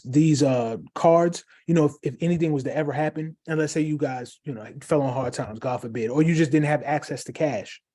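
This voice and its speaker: Chill co-host guy, warm mellow voice, hypes people up, lots of ums. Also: mid-range voice. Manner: upbeat, encouraging, many fillers. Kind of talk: casual livestream chat.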